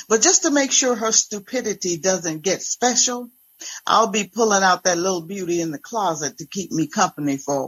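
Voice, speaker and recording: Woman acting a resolute pastor's wife, husky. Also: medium pitch, poor audio.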